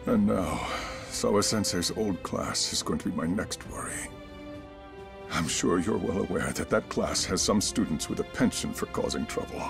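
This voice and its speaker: A low, animated voice for a courteous, concerned school chairman. His speech is formal, as in a video game cutscene, and clear music sits behind it. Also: normal speed. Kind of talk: English game dub dialogue.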